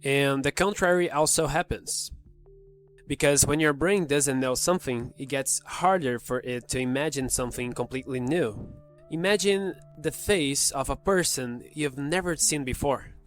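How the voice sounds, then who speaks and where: A man's voice: mid-range voice, normal speed, faint music behind. A man with a slight cold, hosting a casual explainer and talking straight to the viewer. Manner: conversational, talks directly to the viewer. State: has a cold, voice a little off. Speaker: video host explaining psychology.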